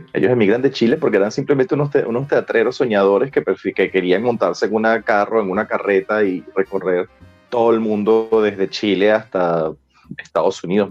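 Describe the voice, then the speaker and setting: A man's voice: on the low side, steady tone, brisk, lo-fi sound, soft background music. A Venezuelan man in his forties, talkative and colloquial, telling stories casually as an interview guest. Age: 40s. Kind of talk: casual conversational storytelling.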